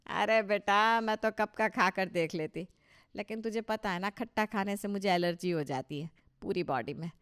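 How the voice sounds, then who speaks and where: A woman's voice: mid-range voice, moderate pace, fairly bright tone, clear, resonant tone, close to an everyday voice. A woman playing a proud, touchy older mother-in-law in a cartoon story, talking emphatically and with self-satisfaction. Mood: expectant. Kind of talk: Hindi cartoon story dialogue.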